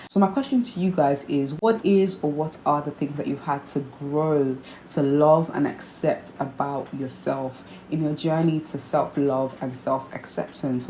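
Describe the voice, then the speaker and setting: A woman's voice: low voice, dark tone, slight background noise. A young woman vlogger from Nigeria with a hybrid Nigerian accent, chatting earnestly to camera and explaining her points by repeating them. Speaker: vlogger host. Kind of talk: casual chat to camera. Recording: echoey room with faint ambience.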